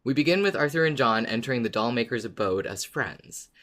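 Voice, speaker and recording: Man co-hosting a podcast, medium-pitched voice. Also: lively intonation, fairly quick, a bit bright. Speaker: podcast co-host. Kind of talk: casual podcast chat and episode recap.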